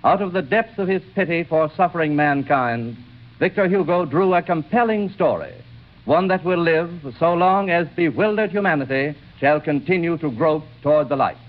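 Male announcer, formal vintage radio delivery, fairly high voice, phone-like old recording. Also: normal speed. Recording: tinny, band-limited 1930s radio recording.

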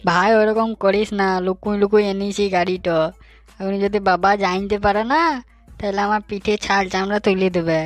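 Man doing a high, pleading cartoon young man. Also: level tone, moderate pace, dry recording, soft background music, close to an everyday voice. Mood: anxious, pleading. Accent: rural West Bengal. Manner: pleading, eager. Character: gullible, anxious young man wanting a bride. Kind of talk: comic cartoon dialogue.

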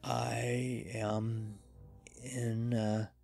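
Guy doing a dreary hopeless dead man in a horror audio tale, really slow and halting, faint music behind. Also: medium pitch, flat delivery, vocal fry, darkish tone. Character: dead man entranced, dreary, despairing, hearing terror approach.

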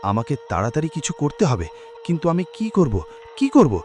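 A man's voice: fairly high pitch, sing-song, a little fast, a little mellow, soft background music. A man playing an overwrought, pleading young man haunted by his dead lover in a melodramatic horror cartoon. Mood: panicked.

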